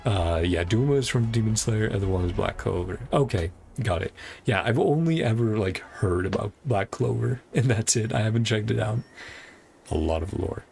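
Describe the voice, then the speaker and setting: Male game streamer, deep and fry-laden voice, relaxed enthusiastic chat over game music. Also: moderate pace. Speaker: live streamer. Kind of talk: casual livestream chat while playing a game.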